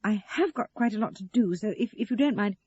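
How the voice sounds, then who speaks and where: A woman's voice: medium pitch, a little fast, warm tone, husky, dull audio, pitched above the performer's own voice, lightly acted. A woman voicing a flustered, guilty adult daughter in an audio story, hesitant and placating. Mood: exasperated, hesitant.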